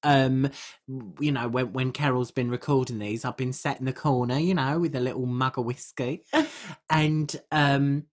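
A woman's voice: rumbling low, unhurried. A woman podcast co-host in casual comic banter, frank, colloquial and self-mocking.

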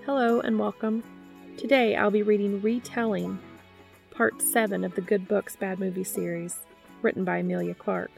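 A woman's voice: mid-range voice, steady tone, moderate pace, vocal fry, a little mellow, faint music behind. A woman hosting and narrating fan fiction in a scripted read-aloud style. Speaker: podcast host and fan fiction reader.

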